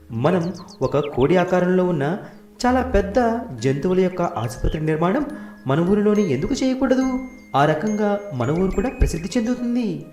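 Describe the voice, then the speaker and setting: A man's voice: high-pitched, darkish tone, voice pushed up. Man as cartoon village headman, earnest, formal, persuasive, roomy echo, faint music. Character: admiring village headman, civic-minded, persuasive.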